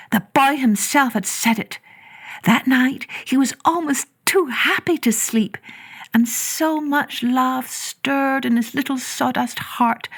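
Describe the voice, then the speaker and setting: A woman narrating a children's story from a script, in a gentle, expressive storytelling manner with hushed phrase endings. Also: fairly high pitch, husky.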